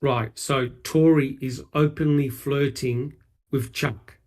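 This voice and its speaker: Male panelist, medium pitch, deliberate, casual questioning. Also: fairly even intonation, a little mellow. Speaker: discussion panelist. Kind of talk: casual discussion, asking questions.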